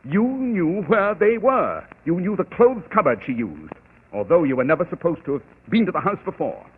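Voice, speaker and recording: Arrogant master detective; incisive, husky, old broadcast. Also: male voice, on the high side, sing-song. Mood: cool. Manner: measured, precise, dryly cutting. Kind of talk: 1940s radio acting. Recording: tinny old radio broadcast.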